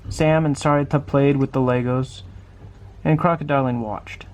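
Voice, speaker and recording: A man's voice: medium pitch, fairly even intonation, moderate pace. A man reading a children's picture book aloud expressively, putting questions to the listener as he goes. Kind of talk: storybook read-aloud for children.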